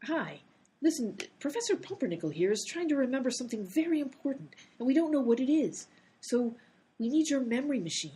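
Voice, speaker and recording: A woman's voice: high-pitched, sing-song, brisk, mellow, husky, full character voice. A woman playing an exasperated female character in a comic detective radio play. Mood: brisk, impatient. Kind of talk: comedy radio play acting.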